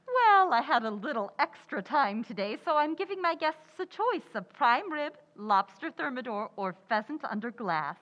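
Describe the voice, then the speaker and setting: A woman's voice: high-pitched, sing-song, normal speed, hardly any pauses, a bit bright, dull audio. A woman playing a frazzled, sly young housewife in a 1940s-style radio comedy, with theatrical delivery. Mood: smug. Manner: theatrical, exaggerated.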